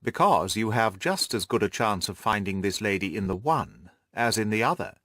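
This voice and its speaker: A man's voice: fairly low pitch, animated, normal speed, bright, forceful tone, no room sound, clean studio sound. A man voicing a confident, amused detective in an audiobook, precise and teasing in his talk. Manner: wry, precise, self-assured. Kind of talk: audiobook character dialogue.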